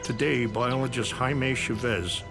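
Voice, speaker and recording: A man giving scripted, measured documentary narration as a studio voice-over. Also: medium pitch. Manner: measured, explanatory. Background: music.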